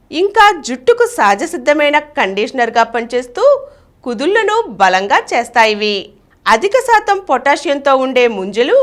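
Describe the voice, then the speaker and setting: A woman's voice: sits high, fairly bright tone. A woman reading a scripted, informational health narration.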